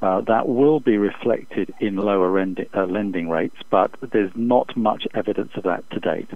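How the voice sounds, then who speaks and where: Man, low pitch, economist guest, formal and measured, hesitant analytical delivery, muffled dry phone recording. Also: no room sound. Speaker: economist being interviewed as an expert guest. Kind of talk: unscripted analytical interview answers. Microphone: telephone. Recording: dull, muffled phone interview line.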